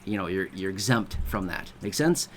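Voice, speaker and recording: A man's voice: low-pitched, expressive, normal speed. Chatty man explaining to viewers. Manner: conversational, keeps checking in with the listener. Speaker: video host explaining benefits. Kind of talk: casual explainer talk.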